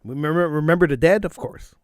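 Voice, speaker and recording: A middle-aged man with a fairly high voice delivers a fervent talk radio monologue. He hammers his points by repeating phrases, and a slight cold wears on his voice. The studio microphone sound is dry and close. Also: fast, dry recording. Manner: emphatic, repeats phrases for stress. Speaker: radio talk show host.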